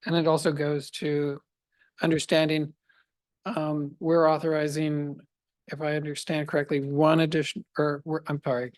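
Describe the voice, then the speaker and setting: A man's voice: on the high side, completely flat delivery, moderate pace, dry recording. A man on a city council asking careful, hesitant questions, with many fillers.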